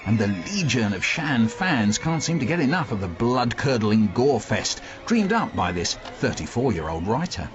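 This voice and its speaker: Male presenter, very lively intonation, mid-pitched voice, book programme narration and studio hosting. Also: moderate pace, hardly any pauses, lo-fi sound, soft background music.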